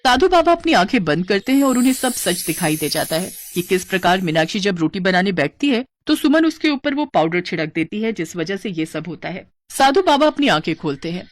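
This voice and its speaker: A woman's voice: mid-range voice, big pitch swings, brisk, hardly any pauses. A woman working as a storyteller, narrating a scripted moral tale.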